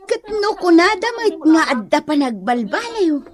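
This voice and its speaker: A woman's voice: very high voice, very animated, normal speed. A woman with an Ilocano accent playing a teasing, gossipy older-sister villager in a radio comedy drama.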